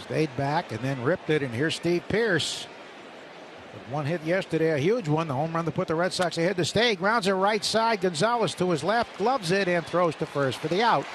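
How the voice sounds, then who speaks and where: A man calls baseball play-by-play on radio in a slightly high voice, brisk and detailed. He rises with the action. Steady background noise runs under the broadcast booth. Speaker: play-by-play announcer.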